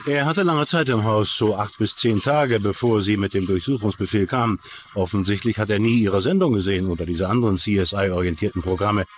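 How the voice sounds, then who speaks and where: A man voices a male interviewee commenting on a crime in a dubbed documentary. The voice is low, with clear noise behind it. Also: brisk, natural voice. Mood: matter-of-fact.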